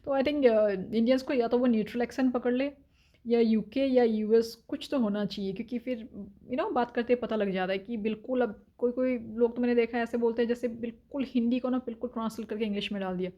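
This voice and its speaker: A woman's voice: a little high, fairly even intonation, fairly quick, highs cut off. A woman from India talking frankly in a casual vlog, with lots of filler sounds and opinions. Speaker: vlogger. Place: home in Denmark.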